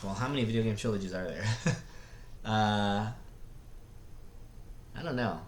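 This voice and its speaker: A man with a fairly low voice talks casually, like a host reviewing films off the cuff. He often stalls and searches for the right word. The room is clearly echoey, with faint noise behind him. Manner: halting, searching for words. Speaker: video host reviewing movies. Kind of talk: casual unscripted movie commentary.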